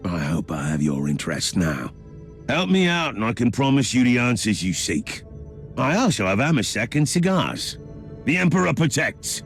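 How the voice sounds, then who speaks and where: An actor playing a self-assured, scheming Inquisitor in a video game, with a formal, wordy and wryly theatrical delivery. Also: male voice, mid-range voice, sing-song, normal speed, soft background music. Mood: persuasive. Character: smooth-talking, wry male Inquisitor agent, self-assured schemer. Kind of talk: video game cutscene acting.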